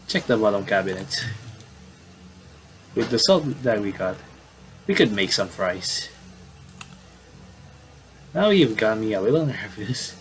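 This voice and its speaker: A man doing casual game commentary, reading on-screen dialogue aloud and adding halting asides. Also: lowish voice, a little hiss or hum, rough recording. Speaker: gamer doing playthrough commentary.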